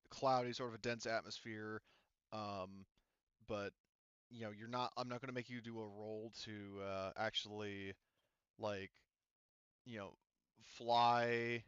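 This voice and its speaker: Low-voiced man running a tabletop game. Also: a bit bright, clear, resonant tone, dry recording, highs cut off. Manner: rambling, many fillers and restarts. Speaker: game master.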